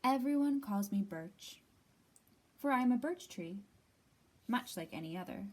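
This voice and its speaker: A woman hosting a library storytime, reading a picture book aloud expressively with deliberate, dramatic pauses. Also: on the high side, animated, a little hiss or hum.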